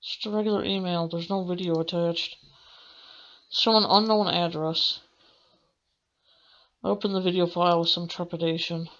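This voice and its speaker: A woman's voice: lowish voice, steady tone, moderate pace, pauses often, fairly bright tone, highs cut off, a little hiss or hum. A young woman let's player reading visual novel text aloud, expressively and with the odd stumble. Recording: game music behind the voice. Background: video game music.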